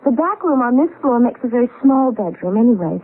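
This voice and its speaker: An actress playing a practical, optimistic young expectant wife in a 1970s radio drama. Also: female voice, a little high, lively intonation, a little fast. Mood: eager, practical. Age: young adult. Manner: optimistic, lightly chiding. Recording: tinny phone-like vintage radio recording.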